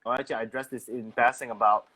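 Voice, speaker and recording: A man's voice: medium pitch, steady tone, rapid-fire, sharp tone, low-quality recording. A man hosting a casual interview, rambling through long questions full of "like". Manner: rambling, many "like" fillers. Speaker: host.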